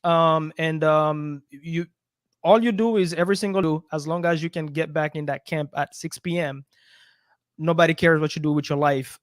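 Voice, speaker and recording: A man who grew up in Belgium talks as a guest in a casual interview, soft-spoken and quick to laugh, often letting his sentences trail off. His voice sits a little high for a man. The close studio microphone leaves it dry. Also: fairly even intonation, no echo. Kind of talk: casual interview over a video call.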